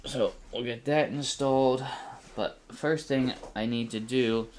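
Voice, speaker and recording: A middle-aged man casually explaining a hands-on build, halting as he thinks aloud, in a small home room. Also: medium pitch, steady tone. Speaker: host of a DIY flight simulator build channel. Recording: faint background room noise.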